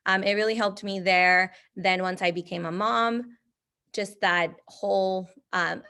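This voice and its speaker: A woman from Argentina, a native Spanish speaker, speaking as a math teacher on a panel in a reflective way with many pauses. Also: on the low side, fairly bright tone. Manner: reflective, many filler ums. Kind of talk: panel discussion.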